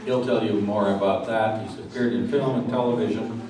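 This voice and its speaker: A man's voice: fairly low pitch, steady tone, normal speed. A man acting as interviewer, introducing and questioning a guest at a live stage event. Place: live event venue with audience. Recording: echoey room, distant mic, clear background noise.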